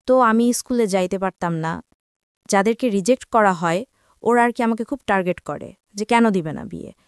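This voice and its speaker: A woman's voice: medium pitch, normal speed, clear, resonant tone, dry recording, clean studio sound. A woman of twenty-three with a Bangladeshi Bengali accent, telling her personal life story as an interview guest.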